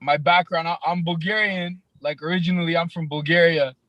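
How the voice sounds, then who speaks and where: Raspy young man with a Toronto accent. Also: a little high, steady tone, fairly bright tone, dry recording, muffled recording. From Canada, grew up in Toronto. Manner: laid-back, halting, many fillers. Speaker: rapper guest. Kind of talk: casual podcast interview.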